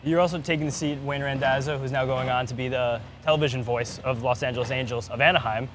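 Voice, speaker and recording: Quick-talking man interviewing, music behind. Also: mid-range voice, fairly even intonation. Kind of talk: casual sports interview.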